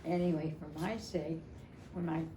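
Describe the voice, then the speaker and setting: Older woman, slightly low voice, chatty and halting personal storyteller, recorded with clear background noise. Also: steady tone, roomy sound. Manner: halting, repeats phrases, chatty. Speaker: storyteller at a family memorial. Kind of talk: casual personal storytelling to a gathering.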